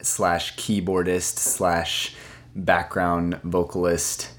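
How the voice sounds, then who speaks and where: A man's voice: low voice, monotone, drawn-out words. A young man from Singapore, a band's lead singer, chatting casually on a podcast and laughing easily. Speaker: lead singer of a band, guest.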